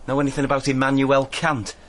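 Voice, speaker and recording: A man's voice: mid-range voice, completely flat delivery, fast talker, fairly bright tone, lightly acted. A man acting in a radio drama as a blunt working man, speaking in clipped, casual phrases. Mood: offhand, blunt. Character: offhand, blunt male working man who hauls cases.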